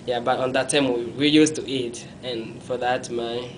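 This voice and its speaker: A young man, telling his life story in an interview in a small room, halting and often correcting himself. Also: mid-range voice, steady tone, background noise. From Congo. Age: young adult. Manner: halting, self-correcting, non-native phrasing; gestures with his hands while talking.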